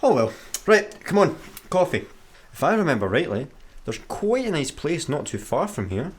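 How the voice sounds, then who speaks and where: A Scottish man playing an excitable, sarcastic space engineer in a sci-fi comedy radio play, with a dramatic, easily flustered manner. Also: a little high, normal speed, husky. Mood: blasé.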